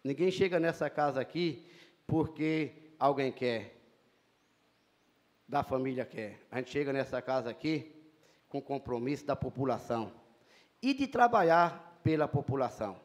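A man's voice: mid-range voice, animated, measured pace, long pauses. Man, middle-aged, Bahian accent, formal, emphatic, repetitive phrasing, handheld mic, council chamber. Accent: Bahian Portuguese. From Brazil. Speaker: city councilman. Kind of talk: inauguration speech. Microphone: handheld mic at a lectern.

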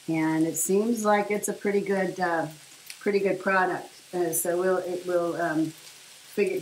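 Chatty woman in an echoey kitchen. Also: low-pitched. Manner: rambling and chatty, many fillers. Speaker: vlogger sharing her diet. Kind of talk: casual chat while cooking. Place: home kitchen, indoors. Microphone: camera on the counter at a short distance. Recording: echoey kitchen with faint hiss. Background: food sizzling in a pan.

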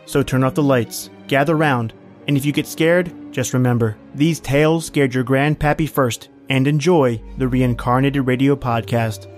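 A man's voice: mid-range voice, fairly even intonation, normal speed, soft background music. A man hosting a podcast, giving a scripted, upbeat introduction. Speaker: podcast host.

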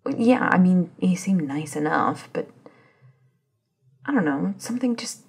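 A woman playing an anxious, self-doubting scientist in an audio drama, breathy and halting as she searches for words. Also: on the low side, animated, darkish tone, full character voice. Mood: anxious, hesitant. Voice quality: breathy, husky. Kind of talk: ASMR audio drama acting.

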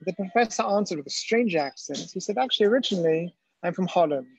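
Middle-aged israeli guy lecturing on a video call, kinda husky, slightly high voice, dull webcam sound. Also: quick pace, no echo. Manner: animated storyteller, quotes people in raised voice. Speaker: educator presenting a webinar. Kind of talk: storytelling lecture.

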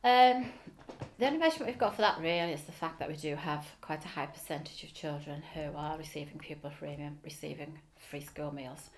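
A woman's voice: deep voice, a little fast, slight background noise. A middle-aged woman with a Liverpool accent, speaking earnestly in an interview in a school office, with frequent ums. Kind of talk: oral history interview. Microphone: seated facing camera.

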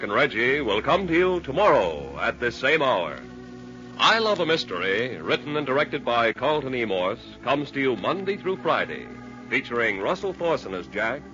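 A man working as a radio announcer, reading program credits in a mid-century broadcast style. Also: medium pitch. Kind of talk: 1940s-50s radio announcing. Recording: muffled old broadcast with music. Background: music.

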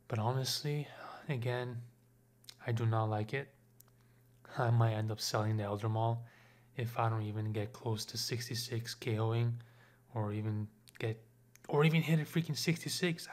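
A man's voice: mid-range voice, a little mellow. Chatty young man doing game commentary. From Lebanese Armenian. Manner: casual and chatty, asks viewers for comments. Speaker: gaming YouTuber. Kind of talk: gameplay commentary.

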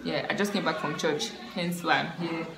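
A young woman, a student vlogger giving a relaxed, rambling life update to her laptop camera in a small room. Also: deep voice, normal speed. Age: young adult. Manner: relaxed, rambling, repeats herself with fillers. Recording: echoey room with music behind.

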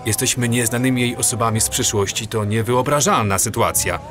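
An actor playing a sceptical, worried husband and time traveller in an audio drama. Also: male voice, mid-range voice, fairly quick, fairly bright tone, soft background music, lightly acted.

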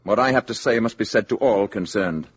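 An actor playing a calm, grave, courteous alien emissary in a measured, formal 1950s radio drama style. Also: male voice, fairly low pitch, slightly rough. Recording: dull 1950s radio recording.

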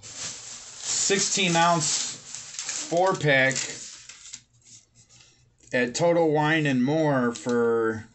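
A man in his thirties casually reviewing a beer at home, relaxed and filling pauses with "um" and "uh", recorded on a webcam or phone at his desk. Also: fairly high pitch, a little hiss or hum. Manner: relaxed, many fillers and pauses. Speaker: beer reviewer, channel host. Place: home room with bookshelves, indoors.

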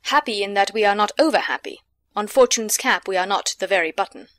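A woman's voice: mid-range voice, expressive, brisk, a bit bright, no room sound, studio quality, lightly acted. A woman playing a cheeky male courtier, bantering schoolfellow of a prince, in a Shakespearean dramatic reading.

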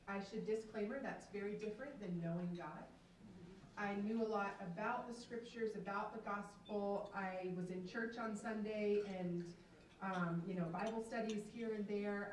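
A woman's voice: mid-range voice, slight background noise. A woman in her thirties from the American South giving an earnest faith testimony as keynote speaker, holding a handheld mic in a large banquet room. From Atlanta, US South. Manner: earnest, emphatic storytelling. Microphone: handheld microphone. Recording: echoey hall, from far off.

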